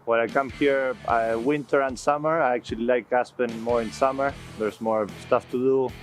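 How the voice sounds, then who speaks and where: A man's voice: medium pitch, fairly even intonation, normal speed, faint music behind. A man from Argentina giving short, casual interview answers as a polo player.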